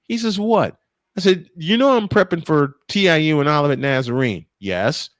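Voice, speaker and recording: Animated higher-voiced male sportscaster. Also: no room sound, clean studio sound. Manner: animated, emphatic storyteller with drawn-out dramatic phrasing. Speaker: play-by-play sportscaster. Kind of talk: live baseball commentary mixed with animated storytelling.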